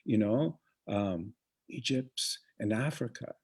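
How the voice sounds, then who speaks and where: A man who was raised in Uganda co-hosts a relaxed online arts program. His voice sits a little low, and he talks loosely, trailing off with plenty of fillers. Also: slow, husky. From Congo, raised in Uganda. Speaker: co-host, museum programmer. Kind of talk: casual live-stream chat.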